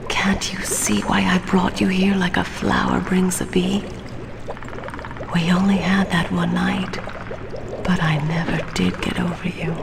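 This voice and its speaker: Low, creaky seductive matriarch. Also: female voice, noisy background. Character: seductive, possessive crime matriarch, proud and wistful. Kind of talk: video game cutscene acting.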